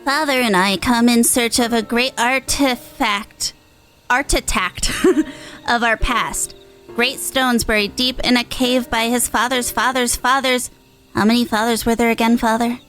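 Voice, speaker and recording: A woman voicing an eager, excitable little mousy child from a video game, with childlike squeals. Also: a little high, unhurried, soft background music. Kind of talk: video game story dialogue read aloud.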